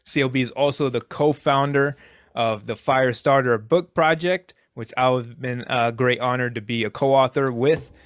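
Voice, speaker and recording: A man's voice: medium pitch, fairly even intonation, moderate pace, dry recording, studio quality. A man hosting a podcast interview, speaking earnestly and appreciatively, with frequent restarts. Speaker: podcast host.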